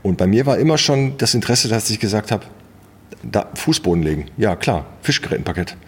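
Husky middle-aged Ruhr man in reverberant space. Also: on the low side, big pitch swings, fairly quick. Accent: Ruhr German. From Ruhr area, western Germany. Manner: colloquial, anecdotal. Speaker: interview guest. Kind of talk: casual storytelling in conversation. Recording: long hall reverb.

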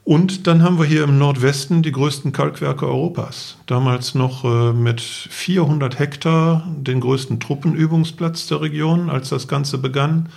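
A man's voice: on the high side, steady tone, normal speed, gravelly, hardly any pauses, darkish tone. A man telling his story as an interview guest, explaining things matter-of-factly and in detail. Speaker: interview guest, enduro event organizer; architect for the city.

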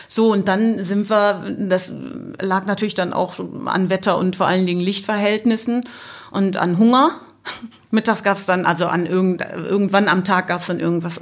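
Woman, middle-aged, mid pitch, podcast guest, casual storytelling, conversational. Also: steady tone, normal speed. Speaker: podcast guest, travelling mother.

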